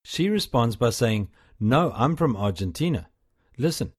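A man guides a listener through a language lesson in scripted narration, his pitch rising and falling freely. He speaks slowly, with a slightly husky edge to his voice. His prompts come calm and measured.

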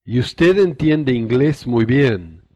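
A man says short lesson phrases slowly and then repeats them for the learner to copy. His delivery is careful and deliberate. The sound is dry and close. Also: mid-range voice, lively intonation, darkish tone, no echo. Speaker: native model speaker in a language course.

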